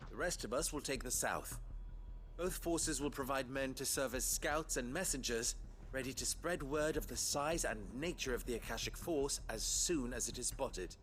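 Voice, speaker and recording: A man playing a composed, authoritative town leader in a video game, speaking in formal, measured phrases. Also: mid-range voice, fairly bright tone, slight background noise, lightly acted. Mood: grave, resolute. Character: composed adult male town leader rallying defenders, authoritative. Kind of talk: dramatic video game dialogue.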